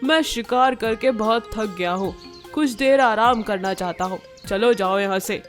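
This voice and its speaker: A woman's voice: on the high side, moderate pace, faint music behind, natural voice. A woman voicing a menacing, boastful, greedy male leopard in a children's animal story. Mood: weary, dismissive.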